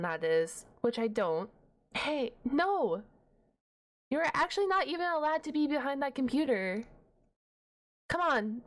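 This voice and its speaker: A woman playing a shy, nerdy, easily flustered young half-dragon librarian in an intimate ASMR roleplay, halting and stammering. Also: on the high side. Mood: flustered, panicked. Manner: bashful, halting, stammering, nervous giggles.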